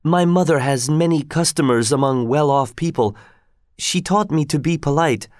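A man's voice: fairly high pitch, moderate pace, no room sound, clean studio sound, voice pushed up, lightly acted. A man voicing a pretty, polite young French woman, frightened and pleading, in an audiobook story. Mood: polite, shaking. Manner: pleading, excited, politely ironic.

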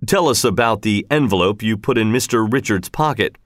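Guy as a doubting theater manager in an audiobook, pointed questions, lively pitch. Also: brisk, no room sound, clean studio sound, close to an everyday voice. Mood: dry, suspicious. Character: skeptical, probing male theater manager.

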